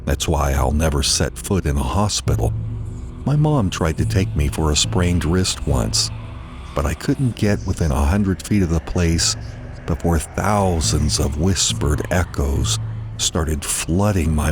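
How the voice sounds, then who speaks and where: A man narrating a scripted horror story in a deliberate, dramatic delivery with weighted pauses. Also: extremely low pitch, animated, background noise.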